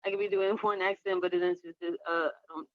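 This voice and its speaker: A woman's voice: lowish voice, steady tone, quick pace, dry recording. Hesitant woman chatting, dull dry audio. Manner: hesitant, repeats short phrases. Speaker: host and reader of a storytelling podcast. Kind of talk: casual chat.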